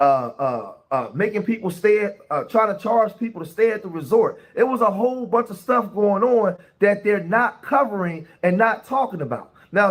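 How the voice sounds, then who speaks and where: Animated man hosting a commentary stream. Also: sits high. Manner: animated, asks the audience "right?" often. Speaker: podcast host. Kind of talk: casual commentary on online clips.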